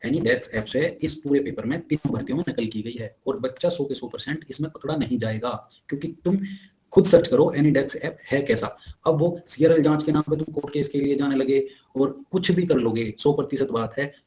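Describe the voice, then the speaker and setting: A man's voice: mid-range voice, normal speed, warm tone, rough recording. A young man gives an informal spoken update to his peers, insistent and repetitive as he urges them on. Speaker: exam candidate giving updates to fellow applicants.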